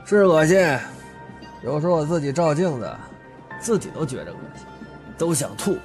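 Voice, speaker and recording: A menacing, foul-mouthed man with a grudge as a male voice actor plays him in an audio drama. His pitch is fairly high and swings in a lively way as he sneers and taunts, with music underneath. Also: normal speed. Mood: taunting. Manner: sneering, crude swearing, taunting. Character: menacing, vulgar, disfigured older brother with a grudge. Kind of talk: Chinese audio drama acting. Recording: music behind the voice.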